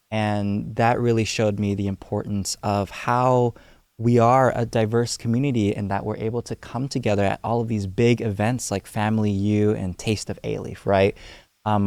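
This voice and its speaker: An earnest young man answering podcast interview questions with many pauses and ums. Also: lowish voice, a little mellow, no echo. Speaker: guest, community organizer.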